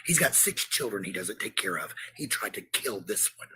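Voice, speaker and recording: A man's voice: fairly low pitch, expressive, normal speed, bright, forceful tone, slightly rough, poor audio. A man on an angry livestream rant, combative and swearing heavily, repeating phrases for emphasis. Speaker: parent hosting a livestream.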